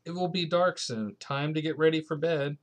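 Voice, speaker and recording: A man acts out an elderly storybook granny who dotes on a child and bosses him about. The character is excitable and easily shocked, prone to loud exclamations. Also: fairly high pitch, moderate pace, close to an everyday voice. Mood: doting, instructing. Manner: bossy, doting, exclaiming loudly. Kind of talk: bedtime picture-book character voice.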